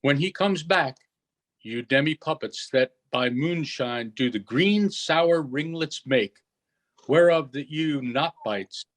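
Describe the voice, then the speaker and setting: Slow, stern man reading as an old sorcerer. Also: on the high side, animated. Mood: solemn, incantatory. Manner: measured, declamatory verse reading. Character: commanding old male sorcerer, stern yet softening to mercy.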